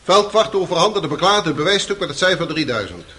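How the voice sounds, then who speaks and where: A stern public prosecutor in a radio courtroom play. The voice is high for a man and very animated. The delivery is formal, insistent and accusing. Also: fast. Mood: stern, probing. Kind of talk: radio drama acting.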